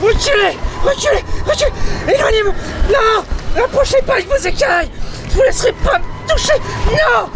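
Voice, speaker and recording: A man's voice: extremely high pitch, expressive, normal speed, hardly any pauses, a crowd in the background, rough recording. A man playing a terrified, raving dockworker in an audio drama, frantic, repeating his words and crying out. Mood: terrified, pleading. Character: terrified, raving male dockworker fleeing monsters. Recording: loud music bed behind the voice.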